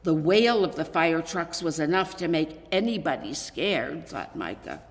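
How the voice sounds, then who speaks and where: A woman reading a children's story aloud in an expressive, gently dramatic storytelling manner. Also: low voice, measured pace. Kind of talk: scripted children's story narration. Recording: echoey hall with long reverb.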